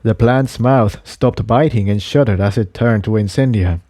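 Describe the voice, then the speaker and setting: A man's voice: on the low side, moderate pace, dark tone, no room sound, clean studio sound. A man reading an adventure story aloud as scripted narration. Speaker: audiobook reader of fan fiction.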